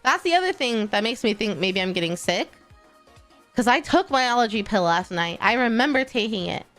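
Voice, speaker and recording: A young woman chats with her stream audience in an easy, encouraging way. Her nose sounds stuffy and congested, and she laughs often. Faint music plays softly underneath. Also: a little high, expressive, normal speed. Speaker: VTuber streamer. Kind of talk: casual livestream chat, reading chat.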